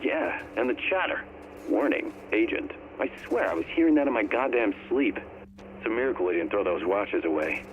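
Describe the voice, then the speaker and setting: An actor playing a plainspoken, wry veteran field agent chatting casually and saltily in a game audio log. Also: male voice, fairly low pitch, expressive, moderate pace. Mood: wry exasperation. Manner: casual, salty, emphatic. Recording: tinny, slightly noisy recorded audio log.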